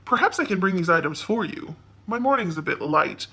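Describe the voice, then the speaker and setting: A woman doing a polite young groundskeeper guy, eager, bouncy and a bit husky. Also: fairly low pitch, animated, fairly quick, sharp tone, exaggerated voice. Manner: courteous and eager to help.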